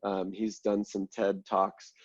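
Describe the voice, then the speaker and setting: A man leading an online mindfulness class, congested and a little nasal, explaining breathing steps in a relaxed way with many ums and "right?" check-ins. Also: lowish voice, slow pace, muffled recording. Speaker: mindfulness instructor.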